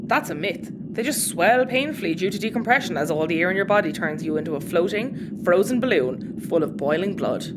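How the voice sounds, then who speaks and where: A woman's voice: fairly low pitch, lively intonation, a little fast, few pauses, very noisy, lightly acted. A woman playing a flustered, blunt spaceship first officer in a sci-fi audio comedy. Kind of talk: naturalistic audio comedy acting.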